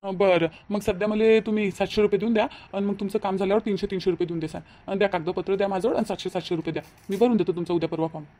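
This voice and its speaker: A woman plays a sly young man out to swindle villagers in a cartoon story. The mid-range voice rattles along very fast. It has a rural Vidarbha accent and keeps up pushy, falsely reassuring patter. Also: mid-range voice, fairly even intonation, warm tone, close to an everyday voice. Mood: haggling. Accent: rural Vidarbha Marathi. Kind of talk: Marathi cartoon moral-story dialogue.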